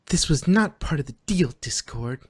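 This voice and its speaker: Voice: medium pitch, animated, moderate pace, slightly rough. A performer reading the part of a weary, skeptical young pony princess in a dry, exasperated manner. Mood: flustered. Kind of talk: character voice in a fanfiction reading.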